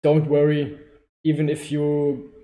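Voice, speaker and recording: Young German-accented man, mellow voice, chatty and self-interrupting livestream host, echoey room. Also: monotone, slow pace. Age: 20s. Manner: rambling, self-correcting, laughing often. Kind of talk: casual live Q&A. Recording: echoey room with low-quality stream audio.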